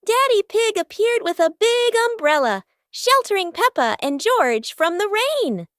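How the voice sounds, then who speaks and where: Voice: very high-pitched, very animated, unhurried, fairly bright tone, no echo, clean studio sound. A narrator reading a scripted children's cartoon story.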